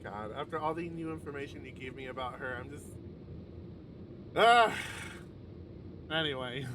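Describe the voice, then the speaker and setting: A man's voice: medium pitch, expressive, normal speed. A man reading a visual novel aloud on a livestream and adding casual, sweary commentary. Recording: noisy livestream with clear background noise.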